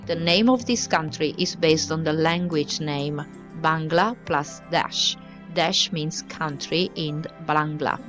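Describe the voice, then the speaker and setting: A woman giving a scripted documentary narration in an even, informative reading style. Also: deep voice, slow, soft background music.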